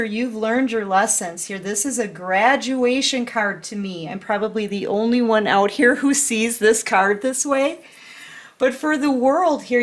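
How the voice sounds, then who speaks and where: A woman doing a casual tarot reading, chatty and affectionate, full of fillers and restarts, a little sick and clearing her throat. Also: fairly high pitch. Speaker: tarot reader.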